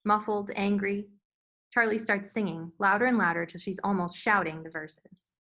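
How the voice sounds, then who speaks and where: A woman's voice: mid-range voice, moderate pace, fairly bright tone. Female narrator for a screenplay table read, medium register, delivering scene directions.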